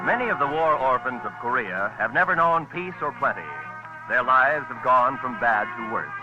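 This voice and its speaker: A man's voice: medium pitch, expressive, moderate pace. An American man reading scripted announcements as a 1950s radio announcer. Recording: muffled vintage radio broadcast with music.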